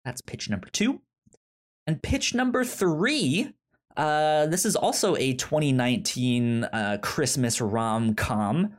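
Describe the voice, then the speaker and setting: Slow, halting man co-hosting a chat. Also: medium pitch, lively intonation. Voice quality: halting, many stammered restarts and fillers. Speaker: podcast co-host. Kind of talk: casual chat.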